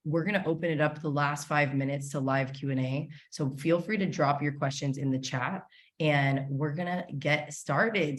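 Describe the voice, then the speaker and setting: Low-pitched woman hosting, chatty and gushing. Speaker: host of an online summit. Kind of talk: casual live interview hosting. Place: indoors, online video call.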